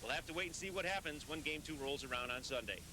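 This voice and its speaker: A man working as a TV sports reporter, reading scripted hockey highlight narration. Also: fast. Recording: noisy 1990s local TV newscast tape.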